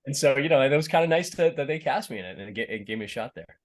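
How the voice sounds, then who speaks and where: A man's voice: medium pitch, animated, rapid-fire. A middle-aged man from Boston with a slight Boston accent, a guest chatting casually in an interview and often restarting his sentences. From Boston, USA. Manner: rambling, many restarts and fillers. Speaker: actor, podcast guest.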